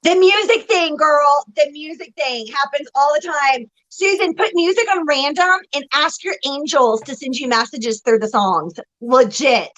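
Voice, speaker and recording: A woman's voice: high voice, unhurried, few pauses, a bit bright, poor audio. A woman with a Texan accent giving an effusive live spiritual reading as host. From Texas. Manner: effusive, exclamatory, preachy new-age delivery. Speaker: psychic reader.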